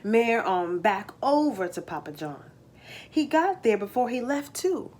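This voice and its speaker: A woman telling a children's folk tale as a storyteller, expressive and dramatic, with folksy phrasing. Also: on the high side, unhurried. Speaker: storyteller, teaching artist. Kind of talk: animated children's story narration.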